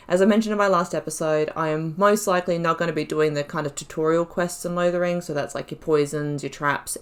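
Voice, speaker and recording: A woman hosts a video game playthrough in a slightly low voice. She is chatty and casual, thinking aloud. Her delivery has a giggly streak. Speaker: gaming YouTuber, host of a playthrough. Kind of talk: casual gameplay commentary.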